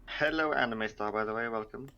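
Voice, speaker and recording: A man's voice: mid-range voice, brisk, creaky, sharp tone, dull audio, a little hiss or hum. A man on a development team casually answering questions in a live stream chat.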